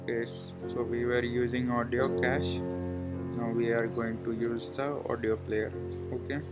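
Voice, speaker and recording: Flat, slow man with loud music behind. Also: medium pitch. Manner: hesitant, halting, thinking aloud. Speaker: coding tutorial presenter. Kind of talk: informal screen-share programming walkthrough, thinking aloud.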